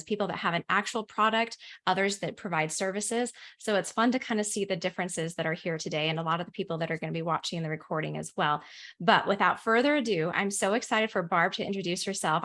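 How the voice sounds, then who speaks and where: A woman's voice: lowish voice, a bit bright. A woman from the US, a business coach, hosting a webinar over a video call in an upbeat, enthusiastic way. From United States.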